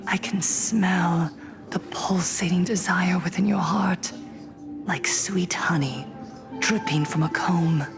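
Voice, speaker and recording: Over prominent music, an eerie game prophetess, obsessed with happiness, in a slightly low voice. She speaks very slowly and breathily, savoring each phrase like a seductive hypnotist. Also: fairly even intonation, creaky. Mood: rapturous, hushed. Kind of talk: dramatic video game cutscene acting.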